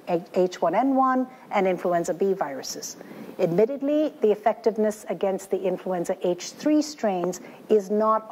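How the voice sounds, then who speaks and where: Measured woman explaining flu vaccines. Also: mid-range voice, a little mellow, slight background noise, poor audio. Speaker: infectious disease researcher. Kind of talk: scientific talk.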